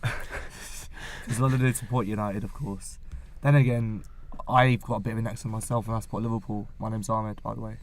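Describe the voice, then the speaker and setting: A man's voice: fairly low pitch, flat delivery, normal speed, slight background noise. A man arguing his side in a casual football podcast chat, full of "like" and "then again". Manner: argumentative, lots of fillers. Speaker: podcast co-host.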